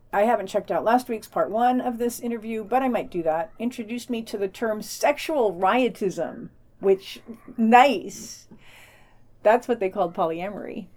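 A woman's voice: mid-range voice, darkish tone. A woman co-hosting a podcast, reading a news digest in an informal, wry way. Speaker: podcast co-host and news reader.

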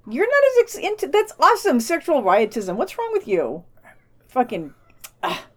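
A woman's voice: high-pitched, huge pitch swings, normal speed. A woman co-hosting a podcast, reading a news digest in an informal, wry way. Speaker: podcast co-host and news reader.

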